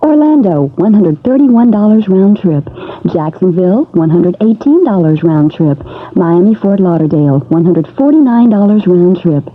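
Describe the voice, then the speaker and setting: Casting: female commercial announcer, lowish and lively, reciting fares, muffled old radio sound. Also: normal speed. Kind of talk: listing fares in an airline ad.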